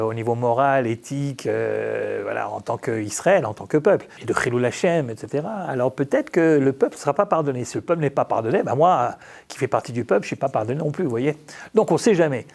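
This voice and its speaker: Man, rabbi, gravelly creak, medium pitch, informal sermon, theatrical storyteller, rhetorical questions. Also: a little mellow. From France. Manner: conversational, humorous, theatrical storytelling. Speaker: rabbi teaching. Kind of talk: informal religious talk with rhetorical questions and acted-out dialogue.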